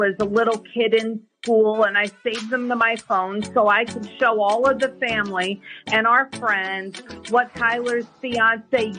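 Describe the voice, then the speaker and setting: A woman's voice: on the high side, fairly bright tone. A middle-aged woman talking on a phone call in a curt, haughty, scornful manner. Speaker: prank call target. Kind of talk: phone conversation. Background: music.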